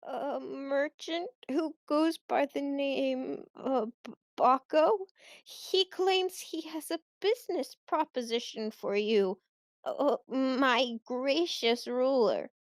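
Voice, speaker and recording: Casting: woman as a deferential, stammering palace attendant, high slow voice, close dry sound, radio play. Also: no echo. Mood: nervous. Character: timid, fawning royal servant of the pharaoh. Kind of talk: student radio theatre acting.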